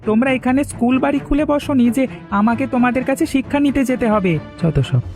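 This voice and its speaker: A woman's voice: sits high, quick pace, over music. A woman voicing a kind-hearted but defiant village man who is thought mad, speaking bluntly in a cartoon. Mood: angry. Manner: blunt, indignant, protective. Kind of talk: cartoon voice acting.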